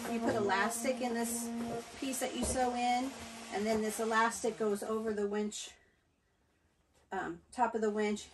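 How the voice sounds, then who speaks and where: A woman's voice: mid-range voice, low-quality recording. A woman in her sixties gives a casual step-by-step sewing explanation indoors in a small boat cabin, with many ums. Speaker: sewing tutorial presenter.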